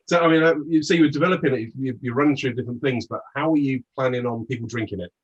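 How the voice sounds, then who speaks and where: A man's voice: medium pitch, racing, slightly rough, highs cut off. A man interviewing a brand's founders in a casual chat, hesitant with many fillers.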